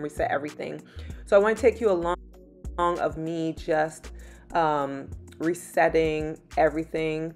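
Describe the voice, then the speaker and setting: A woman in her thirties from Barbados talks through her vlog in a low, easygoing voice, pausing often with "um." Soft background music sits faintly behind her narration. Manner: relaxed and chatty, many "um" fillers. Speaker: lifestyle vlogger. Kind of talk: casual vlog voice-over.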